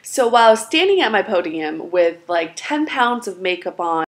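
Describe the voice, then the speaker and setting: A woman's voice: medium pitch, big pitch swings, moderate pace. A young woman from China, a native Mandarin speaker, giving dating advice in a chatty, sarcastic, long-winded vlog. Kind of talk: casual direct-to-camera vlog.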